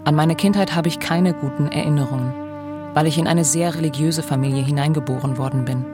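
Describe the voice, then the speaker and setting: A woman's voice: deep voice, fairly even intonation, fairly quick. A woman reading a first-person voice-over translation for a radio documentary. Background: music.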